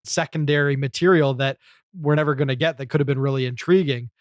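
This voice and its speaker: A man's voice: mid-range voice, fairly even intonation, fast, dry recording. A man co-hosting a podcast, discussing film casually in a thoughtful, explanatory way. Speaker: podcast host.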